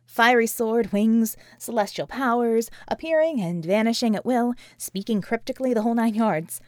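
A woman playing a sardonic, sharp-tongued, weary woman with a wry, emphatic manner in an audiobook. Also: on the high side, normal speed, no echo, clean studio sound, lightly acted. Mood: earnest, explaining.

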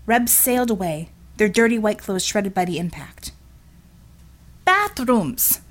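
A woman's voice: mid-range voice, normal speed. A woman reading a scripted action story as a dramatic audiobook narrator.

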